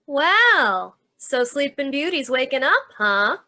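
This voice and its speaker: Carnival general manager played by an actress: suspicious, brusque, forceful edge, slightly high pitch, vintage radio noir. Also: female voice, sing-song, slow, heavily acted. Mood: needling, threatening. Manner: brusque, slangy, needling. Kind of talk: old-time radio noir acting.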